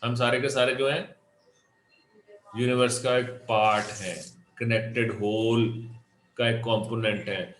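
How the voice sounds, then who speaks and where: A man with a Pakistani accent lectures as a motivational speaker in a room with strong echo. His voice sits at a medium pitch. He puts questions to his listeners and repeats key phrases to drive them home. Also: fairly even intonation, unhurried, long pauses, fairly bright tone, a little hiss or hum. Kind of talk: interactive motivational lecture mixing Urdu and English. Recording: echoey room.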